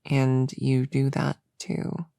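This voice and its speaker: A woman playing a flustered, earnest young novice witch in an ASMR audio roleplay, soft-spoken and halting, slipping into whispers. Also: a bass voice, completely flat delivery, gravelly, no echo, clean studio sound, heavily acted. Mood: sheepish.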